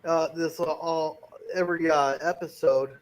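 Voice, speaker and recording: A man with a fairly high voice talks casually as a review panelist. He explains what he means in a halting, conversational way. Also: fairly even intonation. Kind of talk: casual chat.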